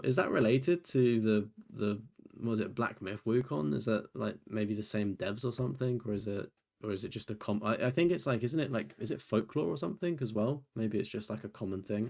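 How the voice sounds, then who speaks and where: A man's voice: lowish voice, creaky, darkish tone. Tired, chatty young man. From United Kingdom. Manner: chatty, rambling, self-deprecating with frequent fillers. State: tired, voice nearly gone. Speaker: gaming YouTuber and streamer. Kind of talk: casual commentary reviewing a trophy list.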